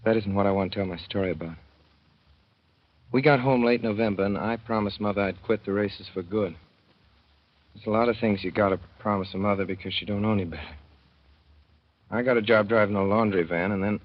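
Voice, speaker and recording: A naive young man in a 1930s radio play tells his own story in a folksy, plain-spoken way, as a man voices him. His voice is deep, and the broadcast sound is muffled and dull. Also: fairly quick, pauses often, natural voice.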